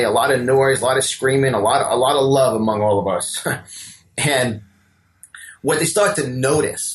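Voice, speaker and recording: A New York-accented man in his fifties speaks with the push of a motivational coach. He gets emphatic, repeats himself and catches on a slight stammer now and then. His pitch sits in an ordinary male middle range. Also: slight background noise, rough recording. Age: 50s. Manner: emphatic, preacher-like, repeats words and stammers a little. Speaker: business coach and guest. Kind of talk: motivational interview talk.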